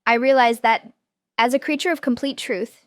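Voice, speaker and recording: A woman voicing a clever, earnest little girl who is a young scientist in an animated drama. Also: fairly high pitch, moderate pace, no echo, clean studio sound, lightly acted. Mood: thoughtful.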